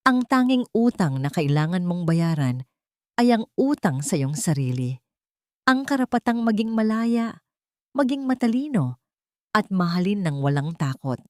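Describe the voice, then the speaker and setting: A woman narrating a scripted love story in a dramatic, measured and solemn storytelling style. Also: lowish voice, sing-song, a little mellow, no echo, clean studio sound.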